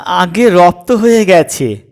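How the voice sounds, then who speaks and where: A man's voice: high voice, expressive, slow. A man voicing a meek, evasive young student son in a comic audio story, hesitant and placating.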